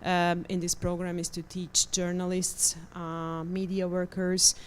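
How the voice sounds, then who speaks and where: A woman's voice: lowish voice, monotone, measured pace, a little hiss or hum. A woman from Hungary, speaking as a panelist on media literacy, hesitant with many ums and uhs. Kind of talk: panel discussion.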